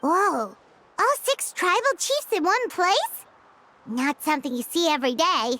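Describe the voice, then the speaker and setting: A woman voicing a bright, excitable and friendly small female character in a video game. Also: extremely high pitch, a little slow, a little hiss or hum, heavily acted. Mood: excited, amazed. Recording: music playing behind.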